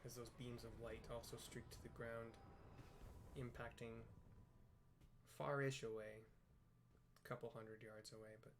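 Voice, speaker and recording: A man's voice: medium pitch, flat delivery, a little slow, pauses often, slight background noise. A man with an American accent casually narrating a video game playthrough, describing scenes in a hesitant, rambling way. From US Pacific Northwest.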